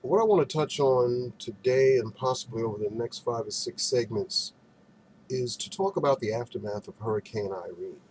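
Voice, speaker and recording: A man with a mid-pitched voice presents practical advice as a show host. He sounds folksy and instructive, stressing key points and posing questions to the viewer. The amateur recording carries some background noise. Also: moderate pace, a little mellow, rough recording. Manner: folksy, instructive, emphatic phrasing with rhetorical questions. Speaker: financial advisor hosting an advice segment. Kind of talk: direct-to-camera advice and product show-and-tell.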